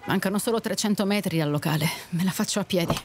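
A woman's voice: on the low side, quick pace, soft background music, natural voice. A woman acting a resolute, generous, blunt young lady passenger in an audio drama. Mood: resolute, gentle.

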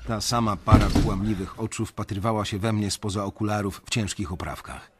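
An actor playing an uneasy young banker who narrates a radio drama in a measured, reflective way. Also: male voice, lowish voice, fairly even intonation, slightly rough. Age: young adult.

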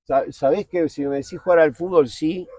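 A man in his forties with a Buenos Aires accent, a guest talking candidly outdoors in a park, blunt and slangy, often halting and restarting. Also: on the high side, a little mellow, no room sound. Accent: Buenos Aires Spanish. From Argentina. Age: 40s. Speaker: guest, TV producer. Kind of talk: candid casual interview.

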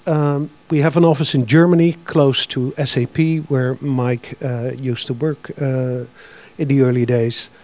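A man in his fifties with a Dutch accent, giving a business presentation at a conference through a handheld microphone, talking plainly and filling pauses with uh and um. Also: mid-range voice, steady tone, darkish tone. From Netherlands. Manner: plain, matter-of-fact, with frequent uh and um. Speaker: conference speaker. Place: large conference hall with audience.

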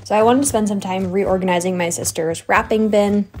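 Young female American vlogger for easygoing voice-over, average pitch, backed by music. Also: fairly even intonation, a little fast, a little mellow. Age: young adult. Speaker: cleaning vlogger. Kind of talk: casual voice-over narration. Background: background music.

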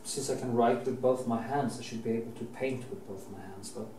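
Norwegian-accented painter, middle-aged; rambling restarts, casual swearing; distant camera mic, faint room hiss. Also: male voice, medium pitch, steady tone, a little fast, a little mellow, echoey room. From Norway. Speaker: painting teacher. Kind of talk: painting demonstration. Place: painting studio, indoors.

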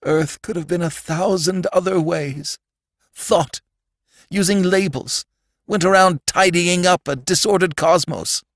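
A man's voice: fairly high pitch, very animated, slow pace, a bit bright, no echo, studio quality, voice pushed up, exaggerated voice. A man voicing a terrified shapeshifting alien in a storybook reading, pleading and frantic in his outbursts. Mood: frightened pleading.

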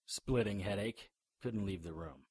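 An actor playing a wry, resolute hero in a radio drama, with a casual manner. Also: male voice, on the low side, a little slow, darkish tone, breathy edge.

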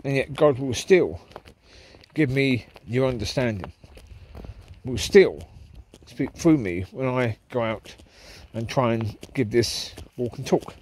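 A man's voice: medium pitch, lively intonation, slow pace, a little mellow, slight background noise, poor audio. A man talking casually to camera as he walks his dogs through a park at night, reflective and rambling. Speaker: vlogger walking his dogs. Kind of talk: casual walk-and-talk monologue. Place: park path at night, outdoors. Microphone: handheld camera while walking.

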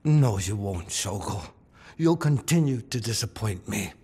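A man playing a stern, cold crime-boss father in a video game, grave and measured, with noise behind. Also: lowish voice, animated, darkish tone, husky, full character voice. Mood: cold disappointment. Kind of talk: video game cutscene acting.

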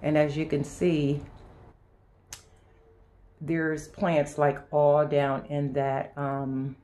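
A woman with a very low, soft-edged, dark voice gives an informal home tour. She speaks to viewers like a lifestyle vlogger, unscripted and halting, often circling back to restart a thought. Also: level tone, lo-fi sound. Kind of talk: casual chat to viewers during a house tour. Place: inside a house, walking between rooms and sun porch.

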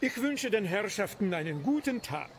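A man's voice: high voice, big pitch swings, normal speed, a little hiss or hum. A man playing a stiff, haughty count's servant who speaks with archaic, formal politeness in an audio drama. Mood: courtly, haughty.

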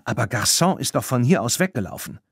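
A man's voice: mid-range voice, a little fast, creaky, slightly rough, no echo, clean studio sound, exaggerated voice. A man voicing an emotional, earnest girl with a heartfelt manner in a children's audiobook. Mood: indignant. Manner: heartfelt, excitable. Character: emotional, earnest girl who loves her horse.

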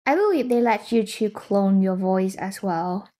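A young woman walks through a coding demo in an unhurried, chatty way. She thinks aloud and reacts to what she hears. Her voice is recorded on a laptop webcam setup in an indoor room. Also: mid-range voice, lively intonation, dark tone. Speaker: tech tutorial host. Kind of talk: casual screen-share tutorial.

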